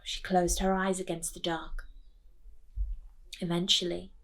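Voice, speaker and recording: Slow, deliberate woman storyteller. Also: fairly low pitch, flat delivery, fairly bright tone. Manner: deliberate, with dramatic pauses. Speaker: storyteller and teacher. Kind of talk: expressive children's audiobook-style reading.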